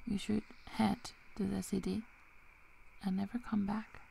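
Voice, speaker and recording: A young werewolf girl acted by a woman, loyal and protective, in an ASMR roleplay. She speaks softly and slowly, slipping between whispers and heavy vocal fry, in a dark, mellow tone. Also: mid-range voice, level tone, a little hiss or hum. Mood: soft, reassuring. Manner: soft, halting, breaking into whispers.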